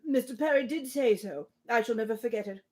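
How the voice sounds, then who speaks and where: A woman's voice: fairly high pitch, fairly quick, a little mellow, lightly acted. A woman reading aloud as a fretful, affectionate elderly father in a storybook, rambling anxiously. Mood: fretful, doting.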